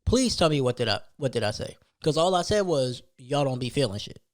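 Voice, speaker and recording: A man's voice: medium pitch, very animated, brisk. Emphatic man on a slangy solo rant. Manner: emphatic, slangy, repeats phrases and rhetorical questions. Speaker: podcast host telling a personal story.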